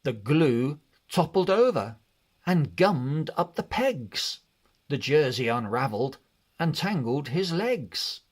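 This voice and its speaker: A man narrating a children's read-along storybook in an expressive, deliberate way. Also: on the high side, husky. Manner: expressive, deliberate, measured delivery.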